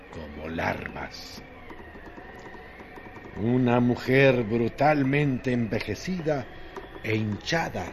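Very slow, gravelly male narrator. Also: lowish voice, slight background noise. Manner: solemn, deliberate, with dramatic pauses. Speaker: narrator of a radio drama. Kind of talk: dramatic literary narration.

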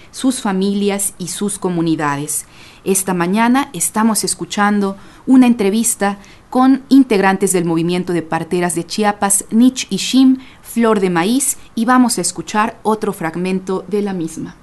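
A woman hosting a radio show, reading announcements in a clear, measured way. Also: mid-range voice, darkish tone. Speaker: radio host.

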